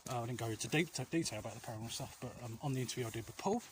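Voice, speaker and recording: A man's voice: mid-range voice, rapid-fire. A man giving earnest, reassuring advice in a casual, rambling chat to viewers as he walks outdoors. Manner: rambling, often losing his thread. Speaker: vlog host. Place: outdoors, walking along alleys. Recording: outdoor walk with clear ambient noise. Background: outdoor ambient noise.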